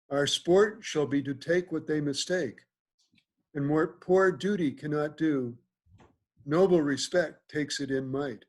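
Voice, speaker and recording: A genial Athenian duke played by a man speaks in stately Shakespearean verse. His voice sits a little high, with the lordly ease of a ruler in a stage play read over a video call. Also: a little mellow. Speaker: amateur reader in a play. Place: remote video call, reading from home.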